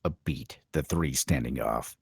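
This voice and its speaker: A man reading screenplay directions as a dramatic narrator, in clipped, suspenseful phrases with pauses for effect. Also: extremely low pitch, slightly rough, no echo, clean studio sound. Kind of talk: dramatic scripted narration at a table read.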